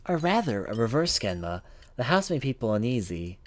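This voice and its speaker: A man's voice: mid-range voice, very animated, normal speed, lightly acted. A man voicing a studious young woman from a visual novel who explains things in an assured manner. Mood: assured, explaining. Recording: music behind the voice.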